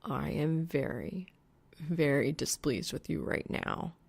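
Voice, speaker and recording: A woman voicing a grumpy, sarcastic young male gamer in an audiobook, grumbling and deadpan. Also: low-pitched, slow pace, gravelly, husky, voice pushed down, exaggerated voice. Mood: growling displeasure.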